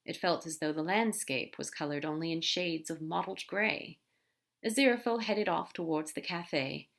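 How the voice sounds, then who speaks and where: A woman reading a fan fiction story aloud, narrating with expressive emphasis and soft whispered asides. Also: low voice, normal speed, creaky. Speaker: podfic reader.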